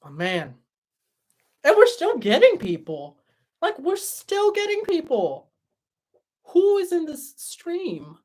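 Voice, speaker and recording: A young man hosting a livestream and answering chat in a relaxed, chatty way, his voice tired after hours of talking. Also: very high voice, slow, long pauses. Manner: relaxed, chatty, many fillers and restarts. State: voice tired after a long stream. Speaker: livestream host reading chat.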